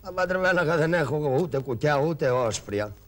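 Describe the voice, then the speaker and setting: A man voicing a poor, cheeky male trickster in a shadow-puppet play, full of mocking wisecracks and loud street-crier shouts. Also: fairly high pitch, expressive, lightly acted. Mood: griping. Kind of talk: Greek shadow-puppet theatre.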